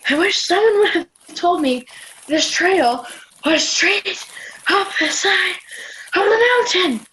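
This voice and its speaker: A teen girl voices a sulky hiker who grumbles about every step of the trail. The voice sits high and the lines drag slowly. A faint noise runs under this home-recorded radio play. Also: sharp tone, full character voice, low-quality recording. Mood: complaining. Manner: complaining, grumbling. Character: whiny girl named Lauren, complaining hiker. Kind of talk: student radio play reading. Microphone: home mic on a video call.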